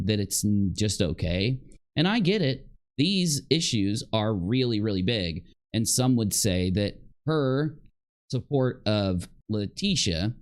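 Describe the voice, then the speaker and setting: Man, mid-pitched voice, streamer, unscripted commentary, argumentative, accusatory. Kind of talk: unscripted reaction commentary over another person's video.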